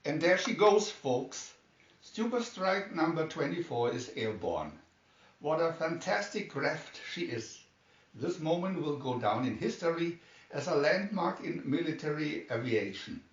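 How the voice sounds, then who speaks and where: An excited, awestruck male reporter acted out by a man reading a storybook gives exclamatory commentary. His voice sits a little high, in a roomy, slightly dull recording. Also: a little slow, far from the mic. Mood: excited, awed. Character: excited male broadcast reporter announcing a spectacle.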